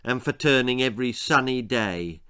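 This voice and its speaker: A British man narrates a children's story at a medium pitch. He reads as its author would, dramatically, setting off key phrases with pointed pauses. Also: animated, pushed and bright, clear, resonant tone. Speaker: author reading his own story.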